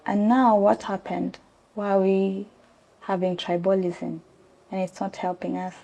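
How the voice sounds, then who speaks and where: Young woman, gravelly, warm dark tone, from South Sudan, earnest, hesitant. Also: mid-range voice, level tone, a little slow, rough recording. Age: young adult. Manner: earnest, hesitant with many ums. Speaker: interview guest. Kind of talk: conversational interview.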